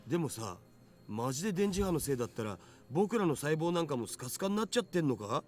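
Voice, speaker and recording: A man's voice: a little high, very animated, rapid-fire, soft background music. A man voicing a young, skeptical geek sidekick in a Japanese visual novel, slangy and questioning.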